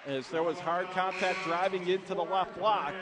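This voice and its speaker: Male radio play-by-play caller, high register, projected and excitable, courtside amid loud arena noise. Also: moderate pace, crowd noise. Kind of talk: live basketball play-by-play commentary. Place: courtside in an indoor college arena. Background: gym noise, bouncing basketballs.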